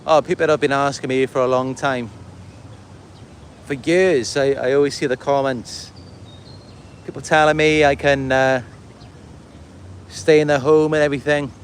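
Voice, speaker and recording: A man from Wales with a Welsh accent, talking casually and a little haltingly in a rambling livestream monologue. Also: mid-range voice, fairly even intonation, slight background noise. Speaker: livestream host and content creator. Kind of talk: casual livestream monologue, answering viewer comments.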